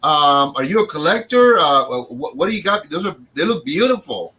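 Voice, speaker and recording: A man's voice: a little high, moderate pace. A man from Cuba with a Cuban accent, co-hosting a casual chat and acting out the voices in his stories. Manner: animated storyteller acting out dialogue.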